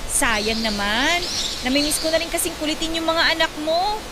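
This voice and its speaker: An actress playing a hot-headed, defensive young daughter and band musician in a Tagalog radio drama, speaking emotionally. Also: female voice, high-pitched, expressive, moderate pace. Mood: affectionate. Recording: loud music under the dialogue.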